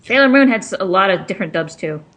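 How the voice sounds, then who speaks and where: A woman's voice: mid-range voice, expressive, fast, fairly bright tone. A woman chatting casually as a co-host among fellow fans. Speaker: commentary host. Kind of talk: casual fan chat.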